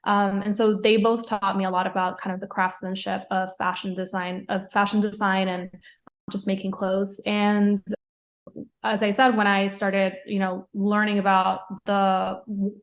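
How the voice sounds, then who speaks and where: A young woman from Puerto Rico talks through her answers in a relaxed interview. Her pitch stays flat and even, and she keeps adding fillers and quick restarts. Her laughs come often and lightly. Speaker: podcast guest, fashion writer.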